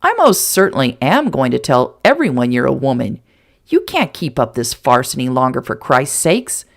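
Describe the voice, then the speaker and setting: A bossy young male doctor, as a woman reader puts him on. He talks a bit lower, stern and insistent. The voice moves expressively and has some gravel. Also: big pitch swings, normal speed, full character voice. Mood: scolding.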